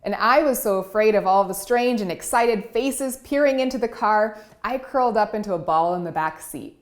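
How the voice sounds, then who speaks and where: A woman's voice: mid-range voice, moderate pace. Woman telling her life story on stage. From Cameroon and Iowa. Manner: warm storytelling, vivid and expressive. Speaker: keynote speaker and writer. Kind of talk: personal storytelling speech.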